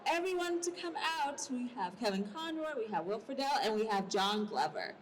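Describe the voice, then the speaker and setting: A woman hosting a live convention panel as moderator, speaking with excited enthusiasm. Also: on the high side, brisk, a little hiss or hum, poor audio.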